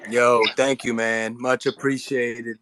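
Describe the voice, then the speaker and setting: A man's voice: medium pitch, little pitch movement, slow, fairly bright tone, low-quality recording. A man hosting a casual live audio chat, talking in an effusive, slangy, bro-heavy way. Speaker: host.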